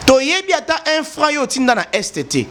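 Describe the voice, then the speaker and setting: High-voiced Congolese man lecturing emphatically. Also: huge pitch swings, a little fast, fairly bright tone, a little hiss or hum. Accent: Congolese French. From eastern DR Congo. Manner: emphatic, lecturing, repeats for stress. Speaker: commentator. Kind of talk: impassioned opinion address.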